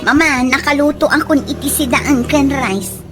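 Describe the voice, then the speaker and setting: A woman's voice: high voice, moderate pace, over music, natural voice. A woman with a Filipino accent playing a fretful, caring young daughter in a radio comedy drama, pleading and nagging. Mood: panicked. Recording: noisy background.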